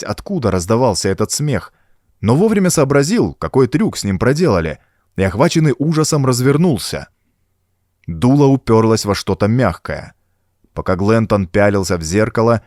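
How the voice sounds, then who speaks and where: A man narrating a horror story as an audiobook, reading the scripted prose in a suspenseful, dramatic and expressive storytelling manner. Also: medium pitch, no echo, studio quality.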